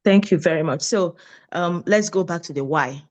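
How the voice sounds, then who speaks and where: A Nigerian woman in her late thirties, a nurse, talking with a lively, preachy energy and repeating her points in a casual interview. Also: lowish voice, normal speed, lo-fi sound. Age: 30s. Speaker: nurse and guest.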